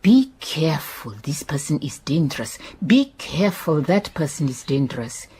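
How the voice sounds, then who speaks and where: A woman's voice: low-pitched, sing-song, warm tone, husky, heavily acted. An actress playing a stubborn lady private detective in a radio drama, speaking formally and unhurriedly with a Southern African accent. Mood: determined. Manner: unhurried, formal, firm phrasing.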